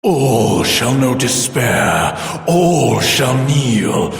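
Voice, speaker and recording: A man voicing a grandiose, menacing dark lord in a video game, pompous and theatrical, with heavy reverb on the voice. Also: medium pitch, big pitch swings, vocal fry, heavily acted. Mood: commanding, cruel. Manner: slow, pompous, menacing delivery. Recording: long echoing reverb, music behind.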